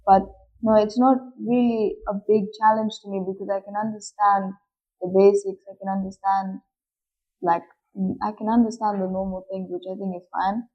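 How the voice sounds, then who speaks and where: A woman's voice: medium pitch, level tone, normal speed. Shy, hesitant teenage girl. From Malawi. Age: late teens. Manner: shy, hesitant, many fillers and restarts. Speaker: footballer guest. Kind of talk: casual interview answers. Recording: dull lo-fi recording.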